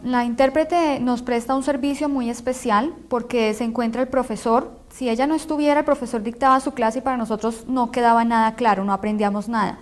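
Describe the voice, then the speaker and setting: Woman, higher pitch, plain steady interview answer. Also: brisk, hardly any pauses.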